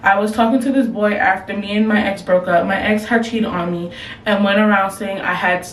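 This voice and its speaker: A woman's voice: mid-range voice, a little fast, distant mic, slight background noise. Casting: young woman, frank and slangy advice vlogger, a bit off-mic, roomy room tone. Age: young adult. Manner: blunt, slangy, animated reactions. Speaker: advice vlogger reading submissions. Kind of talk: casual advice chat. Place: room at home. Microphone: camera, later phone.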